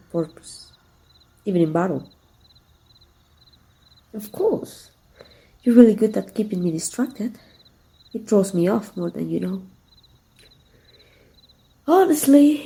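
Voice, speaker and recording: A woman's voice: mid-range voice, dark tone, rough recording. A woman playing a flirtatious, confident villain who is secretly fond of her rival, in an intimate, teasing ASMR roleplay. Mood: gently reassuring. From Mexico. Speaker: amateur voice actor.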